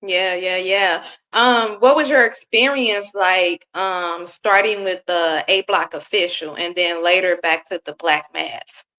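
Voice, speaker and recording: Bright-toned woman interviewer, chatty. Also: fairly low pitch, steady tone, measured pace, hardly any pauses, low-quality recording. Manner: chatty, filler-heavy questions. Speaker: interviewer host. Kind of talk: casual interview.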